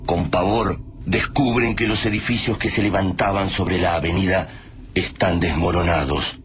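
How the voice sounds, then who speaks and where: Deep-voiced solemn male radio drama narrator. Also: fairly even intonation. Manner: solemn, deliberate. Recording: radio drama with noisy scene effects.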